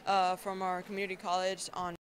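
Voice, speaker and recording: A woman's voice: on the low side, completely flat delivery, brisk. Young woman from Mexico answering questions. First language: Spanish. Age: young adult. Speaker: graduating high school student.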